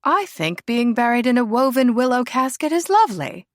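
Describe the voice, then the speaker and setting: A woman's voice: a little high, sing-song, normal speed, no echo, clean studio sound, voice pushed up. A woman voicing an eccentric, airy older aristocratic lady with a posh English accent. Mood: breezy.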